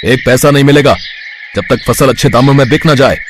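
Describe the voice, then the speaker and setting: A man's voice: medium pitch, a little fast, background noise, lightly acted. A man voicing a stingy, hot-tempered village landlord in a cartoon moral story, scolding and domineering. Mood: angry, scolding. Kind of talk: Hindi animated moral story acting.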